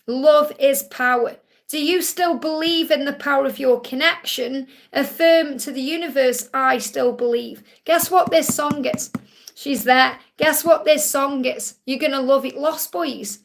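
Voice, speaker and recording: A woman's voice: high voice, fairly even intonation, measured pace, fairly bright tone. A woman in her forties hosting a live question-and-answer stream, reading viewers' messages and giving reassuring spiritual readings in a sing-song, affirming manner. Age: 40s.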